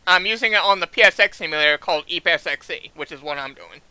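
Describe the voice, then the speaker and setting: A man chatting casually as he streams a game, speaking haltingly and often repeating words as he restarts sentences. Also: a little high, sharp tone, clean tone, no echo. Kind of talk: casual livestream chat over gameplay.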